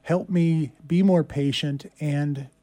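A man co-hosting a morning radio show in casual, conversational banter, with pauses to think as he goes. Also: fairly high pitch, fairly even intonation, dark tone.

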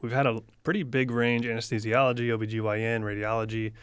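A man's voice: mid-range voice, level tone, fast, fairly bright tone, clean tone, no echo. A man around thirty co-hosting a podcast in casual, conversational chat. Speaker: podcast co-host, medical student.

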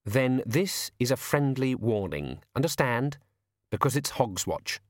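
A man's voice: mid-range voice, big pitch swings, measured pace, no echo. Storybook governess read by a man, young woman, firm, controlled, dry wit, close unechoed sound. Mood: menacing. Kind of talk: audiobook character voice.

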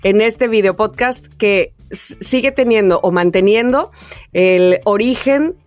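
A woman's voice: mid-range voice, a little slow. A woman with a Mexican accent co-hosting a casual podcast, chatting in an affectionate, joking way. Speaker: podcast co-host. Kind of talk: casual chat among co-hosts.

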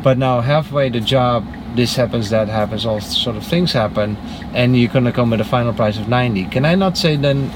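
A man's voice: mid-range voice, brisk. A man asking curious, chatty questions in a casual street interview. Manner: chatty, curious, talks over answers. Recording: street noise with traffic.

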